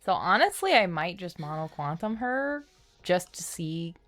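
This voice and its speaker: A woman's voice: fairly low pitch, sing-song, a little slow, fairly bright tone. A woman livestreaming a game, thinking aloud in a casual, playful way. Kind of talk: casual gameplay commentary.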